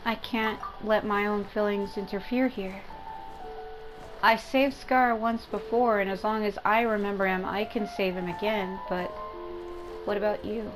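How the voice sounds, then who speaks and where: A woman's voice: mid-range voice, fairly even intonation, measured pace, low-quality recording. Hesitant woman thinking aloud over game music. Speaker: gameplay streamer. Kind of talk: casual reactive game commentary.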